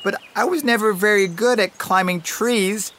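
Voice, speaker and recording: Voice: medium pitch, normal speed, a bit bright, a little hiss or hum, pitched above the performer's own voice, lightly acted. A storyteller voicing a young, timid, homesick bear cub who talks in a small, plaintive way.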